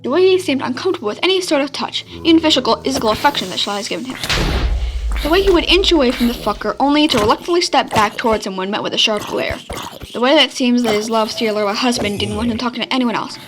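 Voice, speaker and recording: A woman's voice: a little high, lively intonation, fast talker, music in the background. A woman reading fan fiction aloud as a podfic narrator. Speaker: podfic reader.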